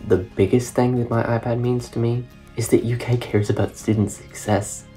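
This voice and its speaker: A young man, a college student from Kentucky, giving an earnest, measured testimonial. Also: mid-range voice, level tone, dark tone, faint music behind. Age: young adult. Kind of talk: scripted testimonial.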